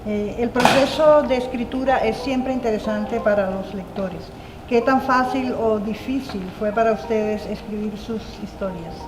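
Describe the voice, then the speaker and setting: A woman's voice: a little high, a little slow. A woman moderating a book panel, brief and courteous as she poses questions. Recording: echoey room with music behind.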